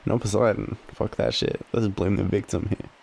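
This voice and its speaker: A young Australian man in a drowsy, halting, casual boyfriend roleplay, murmuring softly as he rambles through a story with slangy swearing. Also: fairly low pitch, flat delivery, creaky. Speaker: audio roleplay performer playing a boyfriend. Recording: faint background ambient noise.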